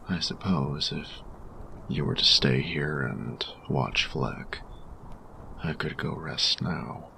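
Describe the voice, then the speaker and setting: Guy doing a grumpy tired inventor for audio roleplay, super low gravelly voice, stiff formal wording, slow n careful. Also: bright, forceful tone, a little hiss or hum, exaggerated voice. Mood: weary, awkward. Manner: formal, stilted, precise diction with hesitant pauses. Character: grumpy, workaholic male artificer, formal, tired and reserved. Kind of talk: audio roleplay addressed to the listener.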